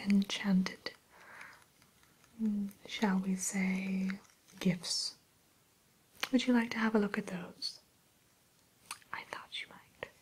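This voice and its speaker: Woman playing a breathy, whispering evil queen shopkeeper. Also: medium pitch, a little mellow, poor audio. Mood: inviting, confiding. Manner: hesitant, coy, genteel salesperson phrasing. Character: refined, sly evil queen running an enchanted mirror shop. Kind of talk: whispered ASMR roleplay.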